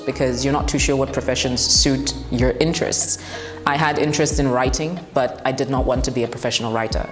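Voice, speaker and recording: A young woman with a commanding voice answering interview questions bluntly and laughing often. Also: very deep voice. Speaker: broadcast journalist and TV presenter. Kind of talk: interview answers, relaxed and frank. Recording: echoey room with long reverb, faint music.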